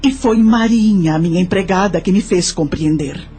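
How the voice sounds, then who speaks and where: A woman's voice: lowish voice, moderate pace, warm tone, lightly acted. A Brazilian woman playing an older, sorrowful widowed mother who tells her life story in an audio drama. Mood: reflective. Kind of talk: audio drama first-person narration. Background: music.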